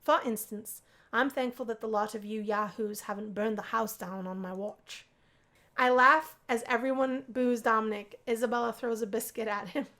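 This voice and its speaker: A woman narrating a visual novel let's play, reading the story aloud in an expressive storybook way. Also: fairly high pitch, normal speed. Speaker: gaming video creator.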